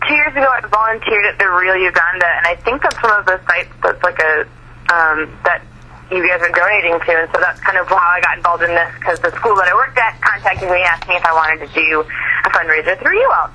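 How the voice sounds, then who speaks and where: A woman caller on a slightly noisy phone line, asking questions in a casual, chatty, excited way. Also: on the low side, fairly quick. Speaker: caller, volunteer fundraiser. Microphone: telephone.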